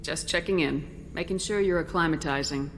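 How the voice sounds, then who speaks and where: A female military commander in a sci-fi game. Her voice is distinctly low, composed and direct, with steady reassurance and a faint hum of ambience behind. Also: normal speed. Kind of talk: video game character acting.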